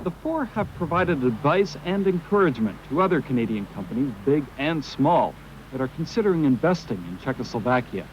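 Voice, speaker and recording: A man narrating a television news report in a measured broadcast style. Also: on the high side, very animated. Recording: slightly dull broadcast audio with faint noise.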